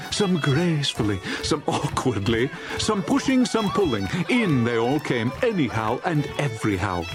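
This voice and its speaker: A man narrating a dramatized storybook in a theatrical, jovial manner. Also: fairly high pitch. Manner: theatrical, jovial, relishing lists. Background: music.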